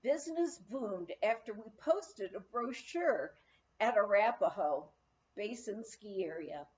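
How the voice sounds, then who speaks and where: A woman reading a memoir aloud as scripted narration, in a measured storytelling manner with deliberate pauses. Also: medium pitch, lively intonation, a little mellow, muffled recording. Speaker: audiobook reader.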